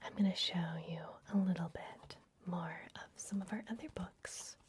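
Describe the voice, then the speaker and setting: A woman playing a gentle, secretive vampire librarian in an ASMR fantasy roleplay, speaking in a soft, breathy, confiding whisper. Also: fairly low pitch, steady tone, natural voice. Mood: welcoming. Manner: gentle, hushed, confiding, unhurried.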